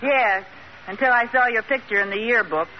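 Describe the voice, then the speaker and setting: An actress playing a mischievous, tactlessly frank American housewife in a 1940s-style radio comedy. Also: female voice, fairly high pitch, moderate pace, natural voice. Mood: blunt, deadpan. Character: blunt, mischievous housewife telling tactless truths. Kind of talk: 1940s radio sitcom acting. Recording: muffled old radio recording with hiss.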